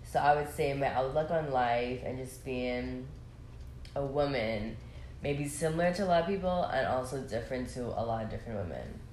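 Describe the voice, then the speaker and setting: Woman in her 20s, super deep voice, rambles thoughtfully, echoey room. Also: animated, moderate pace, darkish tone, a little hiss or hum. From Bahamas. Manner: rambling, reflective, many fillers. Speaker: guest. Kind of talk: casual conversation. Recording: echoey room, faint ambience.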